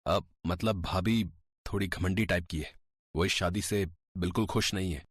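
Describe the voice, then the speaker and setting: Husky, low-pitched young husband played by a man. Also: fairly even intonation, normal speed, dry recording, studio quality. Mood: wry, confiding. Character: easygoing, affectionate young husband.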